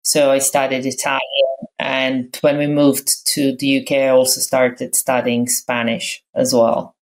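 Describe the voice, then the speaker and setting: A woman from Croatia in her fifties, speaking English as a later language, talks through her background as a podcast guest. Her voice sits very low for a woman. She is reflective and stops often with fillers and restarts. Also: level tone, measured pace, rough recording. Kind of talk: casual interview talk.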